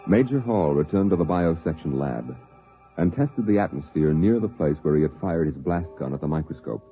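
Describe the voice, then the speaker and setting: A man narrating a scripted 1950s radio drama in a formal, deliberate storytelling manner. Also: extremely low pitch, animated. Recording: tinny, phone-like old radio, music behind.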